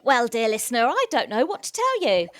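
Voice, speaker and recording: Woman as a chatty, lively, incredulous hospital temp. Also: a little high, a little fast, dry recording, clean studio sound. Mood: bemused. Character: exasperated, chatty, plain-spoken new female hospital temp.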